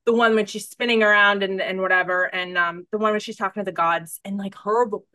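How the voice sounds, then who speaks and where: A woman with a mid-pitched voice hosts a chatty podcast interview. She is effusive and emphatic, piling on words for stress and wandering into tangents in a very informal way. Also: steady tone, brisk, pushed and bright. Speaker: podcast host.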